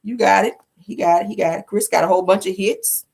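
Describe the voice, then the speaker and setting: A woman's voice: medium pitch, fast talker, vocal fry, breathy edge. A woman hosting a casual gossip show, sassy and colloquial, repeating phrases for emphasis. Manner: sassy, animated asides. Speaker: online show host. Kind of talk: casual celebrity gossip commentary.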